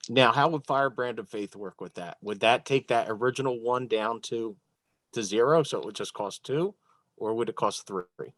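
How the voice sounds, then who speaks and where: A man's voice: mid-range voice, normal speed, fairly bright tone, no echo. A man talking casually as a player in an online tabletop role-playing game.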